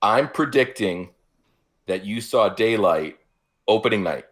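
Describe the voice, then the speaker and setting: Low-voiced man in his forties, podcaster. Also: animated, unhurried, a bit bright, slight background noise. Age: 40s. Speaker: podcast co-host. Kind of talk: casual podcast chat.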